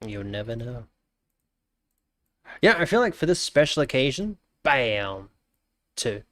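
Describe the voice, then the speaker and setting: A man's voice: mid-range voice, sing-song, a little slow, no room sound, clean studio sound. A man in his twenties with a blocked nose, chatting casually and jokingly on a live stream, often trailing off into mumbles. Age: 20s. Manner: joking, greets chat warmly, trails off into mumbles.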